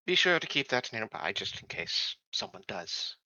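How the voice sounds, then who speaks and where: A man voicing a calculating, quietly menacing corporate android in a tabletop game, with formal, measured and precise phrasing. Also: mid-range voice, very animated, creaky, no room sound, lo-fi sound, exaggerated voice. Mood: cool, conciliatory.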